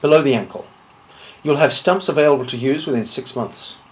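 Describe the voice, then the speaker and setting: A man playing a menacing swordsman in a deliberate, contemptuous, theatrical monologue. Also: on the high side, lively intonation, lightly acted. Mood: cold menace. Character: cold, menacing swordsman threatening a slow revenge. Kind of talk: dramatic monologue.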